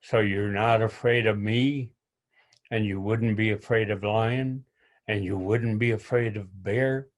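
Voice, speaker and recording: A man's voice: on the low side, steady tone, a little slow, close to an everyday voice. A man voicing a sly male coyote who needles his prey with teasing questions in a told folk tale. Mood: taunting. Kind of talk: oral storytelling.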